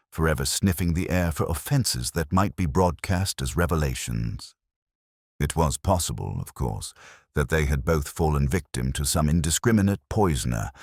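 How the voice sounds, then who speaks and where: A man giving a measured, wry, scripted narration of a mystery story. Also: rumbling low, husky, no room sound, studio quality.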